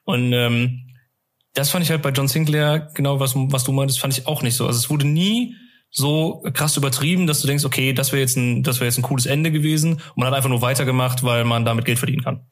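A man's voice: mid-range voice, brisk. A man hosting a fan podcast, chatting casually and colloquially in rambling sentences with frequent tag questions. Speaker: podcast host.

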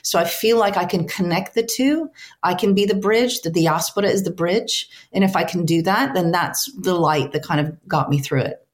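A woman's voice: fairly low pitch, moderate pace. A woman whose first language is Ukrainian, answering interview questions as a translator, earnest and restarting often as she searches for words. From United States, Ukrainian family.

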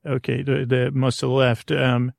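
Creaky, mumbling American man rambling. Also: mid-range voice, level tone, dry recording, studio quality. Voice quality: gravelly, creaky. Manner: meandering, halting, trails off mid-thought. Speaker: podcast host. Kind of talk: rambling bedtime podcast monologue. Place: small closet, very dry sound.

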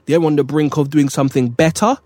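A man's voice: a little high, steady tone, brisk, dark tone, no echo. Reflective man in casual talk. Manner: reflective, repeats phrases while thinking. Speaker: podcast co-host. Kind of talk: casual chat.

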